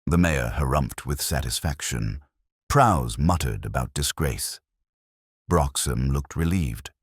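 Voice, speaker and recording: A man's voice: extremely low pitch, animated, slow pace, slightly rough, no room sound, clean studio sound. A man reading scripted, dramatic narration of a detective story.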